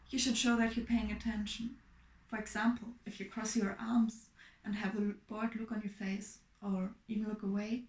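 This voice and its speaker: A young woman from Germany giving a clear, explanatory class presentation as a student. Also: fairly high pitch, a little mellow, echoey room, rough recording. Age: young adult. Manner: clear, explanatory, measured with fillers.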